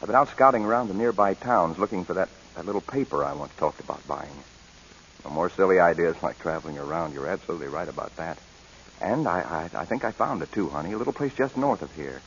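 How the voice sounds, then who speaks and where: An actor playing a devoted, earnest young husband in 1940s radio drama, speaking tenderly and haltingly. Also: male voice, sits low, animated, creaky. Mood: eager, hopeful. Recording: hissy, dull old radio recording.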